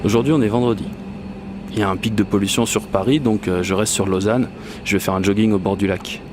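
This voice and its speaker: An actor playing an anxious, rambling commuter lost in a surreal metro, talking conversationally to himself in a radio fiction monologue. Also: male voice, fairly low pitch, steady tone, fairly quick, natural voice. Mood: wry, casual. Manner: conversational, self-questioning, increasingly desperate. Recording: clear vehicle ambience and noise behind. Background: metro train ambience.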